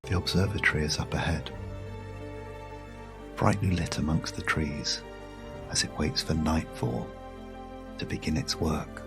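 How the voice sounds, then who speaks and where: A British man narrating a guided sleep meditation story in a hushed, soothing, measured way with long pauses. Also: extremely low pitch, fairly even intonation. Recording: music behind the voice. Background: music.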